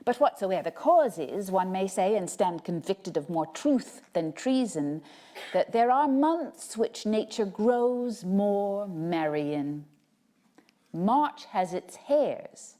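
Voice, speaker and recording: A woman reading poetry aloud as a dramatic recital, with measured, expressive and deliberate phrasing. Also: mid-range voice.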